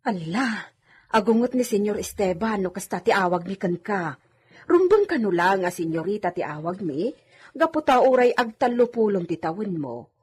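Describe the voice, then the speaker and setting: A woman's voice: medium pitch, big pitch swings, moderate pace, darkish tone, natural voice. A woman playing a humble, deferential village housemaid who is easily flustered, in an Ilocano radio comedy drama. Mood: deferential, admiring. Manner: exclamatory, respectful, flustered.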